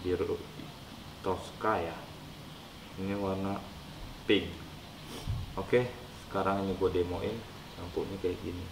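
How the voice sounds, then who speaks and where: A man with a stuffy nose in a slow, low casual vlog. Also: level tone. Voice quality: nasal. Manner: rambling, hesitant with many fillers. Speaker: unboxing vlogger. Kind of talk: casual unboxing chat. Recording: echoey room with clear background noise.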